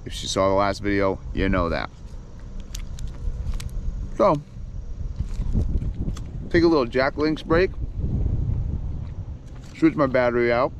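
A man in his thirties, a fishing vlogger, chatting casually and swearing from his kayak, with excited whoops when a fish bites. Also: medium pitch, takes it slowly, pauses often, slight background noise, poor audio. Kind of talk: casual outdoor vlog chat. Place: outdoors in a kayak on a river. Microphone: action camera on the kayak.

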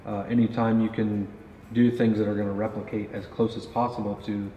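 A man's voice: lowish voice, flat delivery, brisk. A man in his thirties casually interviewing a guest and explaining archery gear, with many fillers, at an indoor range. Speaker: company pro staff interviewer. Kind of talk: product interview. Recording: roomy echo, dull, faint noise.

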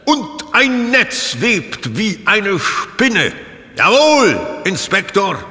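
A grandiose lord declaims from a stage with long reverb. His delivery is slow, pompous and theatrical, in a strikingly sharp voice made for melodrama. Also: high-pitched, big pitch swings, full character voice. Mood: ominous, resolute. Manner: pompous, dramatic.

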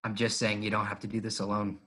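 A cocky space pilot, played by a man, earnest and persuasive in a film script reading. He talks casually and haltingly, as if thinking it through. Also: on the low side, completely flat delivery, fairly bright tone. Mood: earnest, persuading. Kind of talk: fan table read of a film script.

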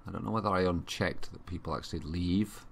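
A man with a Yorkshire accent giving casual, unhurried gaming commentary, thinking aloud to himself. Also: sits low, vocal fry, a bit bright. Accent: Yorkshire English. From Yorkshire, England. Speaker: gamer commentating own play. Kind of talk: casual let's play commentary. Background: strategy game ambience.

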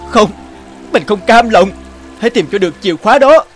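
An actor playing a greedy, excitable young peasant man in a fairy-tale cartoon, dramatic and given to exclaiming and pleading. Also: high-pitched, brisk, soft background music. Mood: anguished. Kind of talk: animated fairy-tale character acting.

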